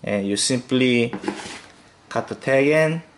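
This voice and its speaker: A man hosting a fishing tutorial, calmly talking through each step with occasional fillers as he ties knots. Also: medium pitch, a little hiss or hum. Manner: slow, relaxed instructional talk with fillers. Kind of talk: step-by-step demonstration voice-over.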